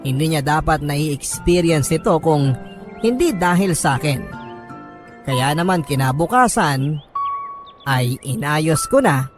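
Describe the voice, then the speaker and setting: A man's voice: fairly high pitch, lively intonation, slow pace, long pauses, music in the background. A man reading a listener's story as scripted radio drama narration in a solemn, reflective manner.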